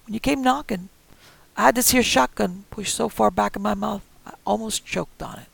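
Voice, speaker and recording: A man voicing a greedy, menacing older man who holds a shotgun, with a gruff, threatening drawl. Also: high voice, normal speed, fairly bright tone, no echo, pitched above the performer's own voice, exaggerated voice. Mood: cold.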